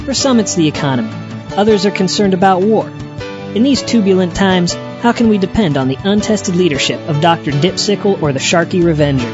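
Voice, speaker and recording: A man's voice: sits high, a little fast, close to an everyday voice. A man playing an earnest, folksy, vague politician giving a parody campaign address. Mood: solemn. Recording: dull, music clearly behind.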